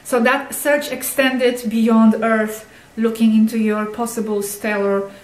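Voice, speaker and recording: A woman's voice: fairly high pitch, flat delivery, slow, echoey room, poor audio. A woman interviewer asking probing spiritual questions, repeating and rephrasing them.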